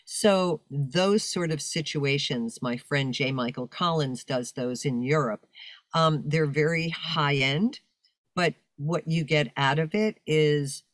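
An older woman, a voice-over announcer and coach, talking casually in a video-call interview with a deep, commanding voice and a big, projecting manner. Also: no echo. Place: home room with fur-draped chair.

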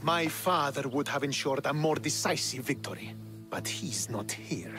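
An actor playing a bitter, proud young Spartan commander in a video game, speaking in a scornful, commanding, clipped way. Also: male voice, medium pitch, lively intonation, normal speed, over music, close to an everyday voice. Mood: angry, accusing. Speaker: military commander. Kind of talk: dramatic video game cutscene acting.

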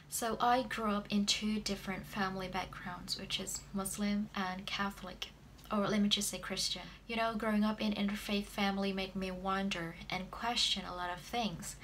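A woman's voice: medium pitch, a bit bright, a little hiss or hum. A woman in her twenties with an Indonesian accent, telling a personal story to camera in a room indoors. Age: 20s. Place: small plain room indoors.

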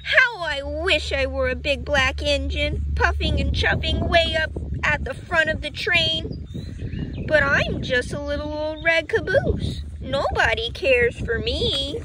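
A woman's voice: sits high, lively intonation, slow pace, pitched above the performer's own voice. A woman voicing a small, wistful but plucky male train caboose in a children's storybook. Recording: outdoor ambient noise behind voice.

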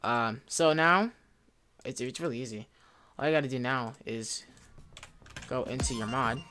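A young man giving a casual software tutorial in an informal, rambling way, often correcting himself. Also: mid-range voice, a little slow. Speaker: tutorial presenter. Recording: music behind, keyboard clicks. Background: music, computer typing and clicks.

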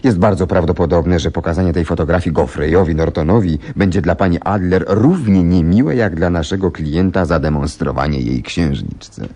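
An actor playing a shrewd, confident gentleman detective in a radio play, speaking in a deliberate, theatrical and self-assured manner. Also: sits low. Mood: confident, explaining. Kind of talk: Polish radio play acting.

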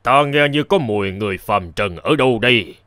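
An actor playing a solemn, commanding elder in an animated fairy tale, speaking in a measured, authoritative way. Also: male voice, on the low side, dry recording, clean studio sound. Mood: stern, suspicious. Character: solemn male envoy and hermit, commanding elder.

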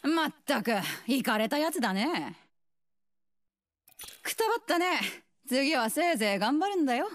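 An actress voicing a brisk, motherly, teasing and quietly ruthless middle-aged woman scientist in a game dub, with playful, theatrical phrasing. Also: high-pitched, sing-song. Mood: taunting, consoling. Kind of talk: Japanese game dub voice lines.

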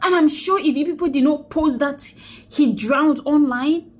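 A young woman with a high voice records herself on a phone held close, indoors. She mourns and exhorts by turns, saying phrases again and again as if she were preaching a sermon. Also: normal speed, a little mellow. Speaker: vlogger. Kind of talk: emotional selfie vlog, preachy. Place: indoors, small room. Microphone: phone held close to face.

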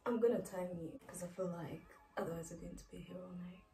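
A woman's voice: fairly low pitch, steady tone, fast, dark tone, soft background music. A woman in her twenties quizzing her sister in a casual vlog, teasing and laughing often. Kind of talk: casual chat, quiz game. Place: living room, indoors. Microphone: far camera. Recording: roomy sound from a distant camera.

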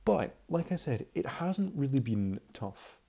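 A man's voice: medium pitch, very animated, a little slow, vocal fry, warm tone, breathy edge. A twenty-year-old man with a Scottish accent, giving a casual, chatty and candid voice-over commentary. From Scotland. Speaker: video commentator.

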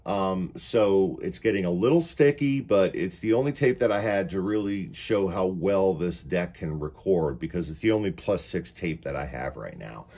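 A man's voice: low-pitched, expressive, moderate pace, few pauses, warm tone. A man giving a casual product demonstration as he shows off equipment indoors. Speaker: demonstrator of a reel-to-reel tape deck. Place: indoors, in a wood-panelled room.

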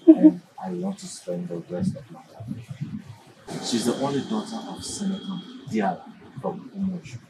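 An actor playing a cheerful, doting adult son with a Nigerian accent, affectionate and effusive in a film drama. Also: male voice, on the high side, very animated, unhurried, noisy background.

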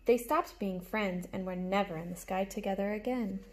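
A young adult woman reading a storybook aloud to children like a teacher, with animated, explanatory asides, recorded in a room at home. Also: medium pitch, moderate pace. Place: small room at home, indoors. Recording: clear background noise, room ambience.

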